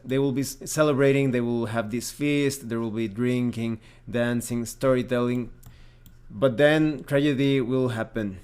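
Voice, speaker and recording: A man's voice: medium pitch, darkish tone. A man presenting a review in an explanatory, methodical way, as the host of a tabletop game channel. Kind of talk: semi-scripted review narration, explanatory.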